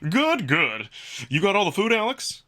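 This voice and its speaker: A man plays an earnest male wolf from an alien world in a visual novel. He is eager and a little awkward, with formal, emphatic phrasing. The voice is forward and bright, with animated swings in pitch. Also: normal speed, slight background noise, full character voice. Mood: brisk, upbeat. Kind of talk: visual novel character dub.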